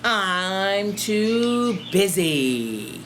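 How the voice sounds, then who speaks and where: A woman's voice: medium pitch, very animated, drawn-out words, fairly bright tone, a little hiss or hum, heavily acted. A woman voicing little boys in a children's storybook, with exaggerated, singsong delivery. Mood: dismissive. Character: reluctant little boys, dismissive then eager. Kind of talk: children's storybook read-aloud.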